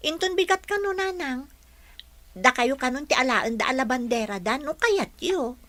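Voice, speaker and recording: A woman's voice: high-pitched, animated, normal speed, sharp tone, dry recording. A woman playing a poor, dutiful young schoolgirl in a radio drama, earnest and polite. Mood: eager, respectful. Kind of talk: Filipino radio drama acting.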